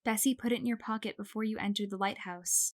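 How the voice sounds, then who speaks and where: A woman playing a protective, secretive friend of a captive in an audio drama, speaking gently and reassuringly. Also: mid-range voice, steady tone, quick pace, dry recording, clean studio sound, natural voice.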